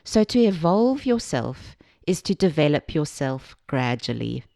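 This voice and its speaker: A woman from Zambia giving a scripted self-help talk as a podcast host, measured and explanatory, speaking directly to the listener. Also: low voice, sing-song, gravelly, mellow, no room sound, studio quality.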